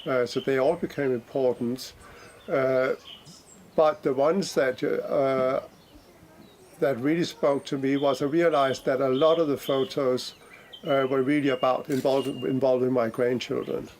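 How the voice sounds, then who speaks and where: An older man from Denmark, answering interview questions hesitantly with many pauses and fillers. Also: medium pitch, steady tone, darkish tone, slight background noise. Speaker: project participant.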